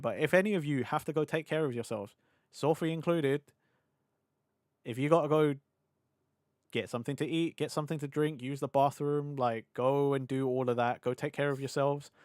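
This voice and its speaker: A young British man streaming live, rambling and laughing as he chats with his audience, his voice a little hoarse from hours of talking. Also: fairly high pitch, dry recording, studio quality. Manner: chatty, scattered, quick to laugh. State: slightly hoarse. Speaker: VTuber streamer. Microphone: desk mic on a boom arm.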